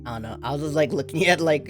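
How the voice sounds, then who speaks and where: A chatty stream host who keeps breaking into giggles, with a voice that sits at an ordinary middle pitch. Plucked guitar music plays clearly behind the talk. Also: fast talker. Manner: giggly, chatty, teasing questions. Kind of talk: casual streamed chat.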